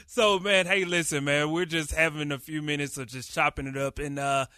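A man co-hosting a casual sports podcast, talking with animated energy and frequent restarts. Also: on the high side, moderate pace, clear, resonant tone, dry recording, studio quality. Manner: animated, hype-filled delivery with many restarts. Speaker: podcast co-host, radio producer.

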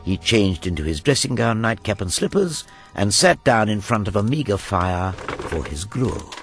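A man narrating a radio play as a wry storyteller, in a deliberate, theatrical manner. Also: fairly low pitch, big pitch swings, over music. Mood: measured, suspenseful.

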